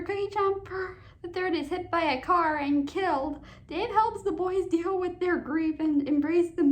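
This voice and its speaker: A woman hosting a casual commentary video, reading summaries aloud in a halting way, often stumbling and repeating words. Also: extremely high pitch. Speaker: host of a cartoon countdown video.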